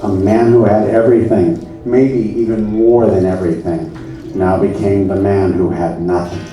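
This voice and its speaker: A man performing on a theater stage in a comedy show, speaking with deadpan, mock-earnest solemnity as a presenter. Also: low-pitched, normal speed. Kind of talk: comedy stage show, solemn story narration and audience address. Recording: echoey room, far from the mic, music.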